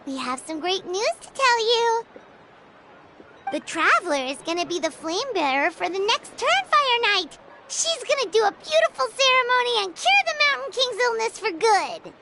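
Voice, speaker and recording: A woman voicing a tiny, excitable floating fairy companion in a video game who speaks of herself by name. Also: very high-pitched, moderate pace, heavy background noise, full character voice. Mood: teasing. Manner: bouncy and eager. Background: game music.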